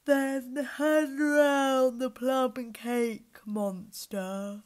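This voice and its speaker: A woman's voice: high-pitched, fairly even intonation, voice pushed up. A woman voicing a lazy, sleepy male lion who drawls and yawns through his words. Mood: drowsy gruff. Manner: drawling, yawning, slow.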